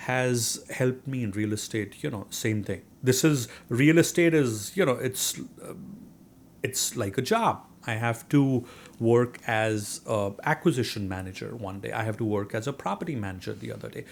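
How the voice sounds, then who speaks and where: A man's voice: medium pitch, moderate pace, vocal fry, a little hiss or hum. Earnest Indian-accented man, "right?" often. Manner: earnest, frequent "you know" and "right?". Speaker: real estate investor guest. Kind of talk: conversational interview.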